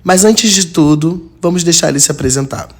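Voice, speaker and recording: A man hosting a podcast, reading scripted story narration in a measured, dramatic way. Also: on the high side, a little hiss or hum. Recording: soft guitar music behind.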